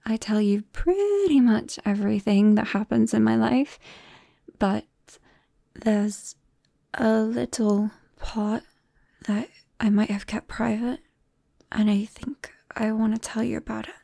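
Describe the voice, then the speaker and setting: Casting: a woman playing a nervous young British vampire friend, slow, mellow and soft-spoken, for close-mic ASMR roleplay. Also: a little high, vocal fry, no echo, full character voice. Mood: nervous, hesitant. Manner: hesitant, soft-spoken, breaking into whispers and small nervous laughs. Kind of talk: intimate ASMR roleplay acting. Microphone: close-up microphone, dry.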